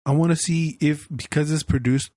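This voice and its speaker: A man's voice: fairly high pitch, steady tone, moderate pace, warm tone, no room sound, studio quality. A man co-hosting a casual podcast, losing his voice and coughing now and then, blunt and swearing freely. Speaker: podcast co-host. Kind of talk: casual chat.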